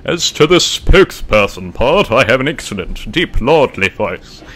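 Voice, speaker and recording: A man putting on the voice of a pompous, lordly male spokesman, speaking in a slow, grand, exaggerated way. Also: mid-range voice, sing-song, fairly bright tone.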